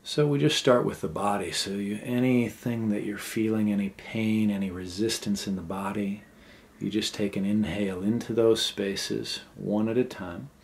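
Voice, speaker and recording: A man with a fairly low voice leads a guided meditation. He speaks gently and without hurry, in short phrases with pauses between them. The delivery is unscripted, as if he is finding each instruction as he goes. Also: fairly even intonation, gravelly, slight background noise.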